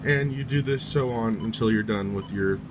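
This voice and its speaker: Low-voiced man teaching over background noise. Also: moderate pace. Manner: informal, repetitive, reiterating each step. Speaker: tutorial presenter. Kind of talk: casual how-to instruction, step by step.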